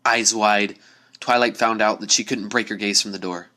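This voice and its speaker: A man's voice: on the low side, fairly even intonation, normal speed, pushed and bright. A man giving a dramatic scripted narration of a spooky story. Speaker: story reader.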